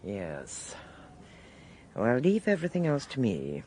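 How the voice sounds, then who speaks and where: An actress playing a shrewd, commanding older lady detective with an English accent and a husky voice, in a period radio drama. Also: very deep voice, wildly swooping pitch, warm tone, slight background noise, full character voice. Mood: probing, decisive. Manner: probing, brisk, authoritative.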